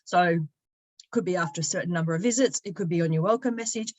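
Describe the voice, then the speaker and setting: A woman narrating an informal software walkthrough, explaining each step conversationally as a product demonstrator. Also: on the low side, fast. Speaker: product demonstrator, company sales and marketing director.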